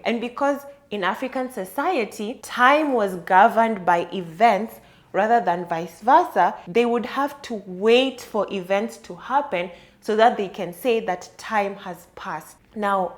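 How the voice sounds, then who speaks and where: A woman hosting a solo podcast, talking through an idea in a thoughtful, explanatory and conversational way. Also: mid-range voice. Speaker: podcast host. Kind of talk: solo reflective podcast monologue.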